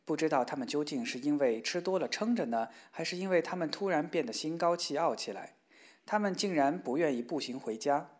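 A storyteller reading a children's fairy tale aloud in a steady, expressive way. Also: mid-range voice, brisk.

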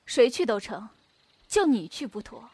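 Lady voicing a bossy senior swordswoman, highish voice, reproving and firm, wuxia radio play. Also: big pitch swings, a little slow, darkish tone, breathy edge, dry recording, exaggerated voice. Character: stern, scolding elder female martial disciple. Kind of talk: Chinese wuxia radio drama acting.

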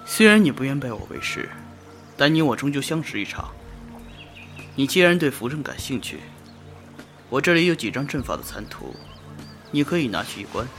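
A man's voice: medium pitch, normal speed, long pauses, faint music behind. An actor playing a generous, wry older male master of magic formations in an audio drama who often mutters to himself. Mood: kindly. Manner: lively, musing, often muttering aside.

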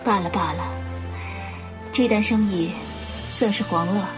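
A woman's voice: medium pitch, measured pace, over music, natural voice. A woman playing a composed, proud young lady with a coolly resigned temper in an audio drama. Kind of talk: audio drama acting in Mandarin.

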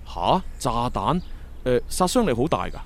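An actor playing an earnest, analytical young time agent in a Cantonese sci-fi radio drama, with a Hong Kong accent. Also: male voice, medium pitch, big pitch swings, normal speed. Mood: thoughtful, animated.

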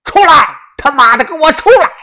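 A man's voice: very high-pitched, animated, moderate pace, sharp tone, voice pushed up, full character voice. A man voicing a furious, grief-struck old tomb-raider patriarch in an audiobook, bellowing and cursing.

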